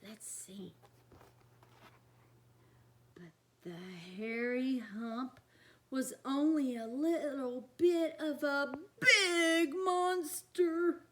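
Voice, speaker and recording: A woman's voice: high-pitched, very slow, fairly bright tone, a little hiss or hum. A woman reading a storybook aloud for young children, expressively, with chatty asides to her listeners.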